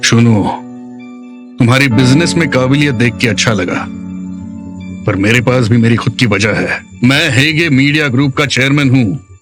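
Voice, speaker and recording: Man acting commanding executive over clear music. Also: medium pitch, moderate pace, close to an everyday voice. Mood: cool, threatening. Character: commanding, coolly threatening male media executive. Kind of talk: dubbed TV drama dialogue. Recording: instrumental music behind the voice.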